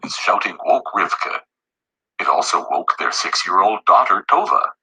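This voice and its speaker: A man reads a children's story with animated intonation. His voice is sharply bright and somewhat low, with a husky grain. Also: normal speed, poor audio. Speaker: narrator.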